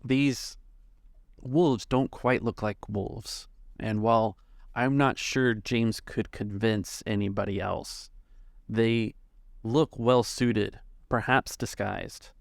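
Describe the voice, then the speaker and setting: A man working as game master, narrating a tabletop game off the cuff in measured, halting phrases. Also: fairly low pitch, steady tone, vocal fry, no echo, studio quality.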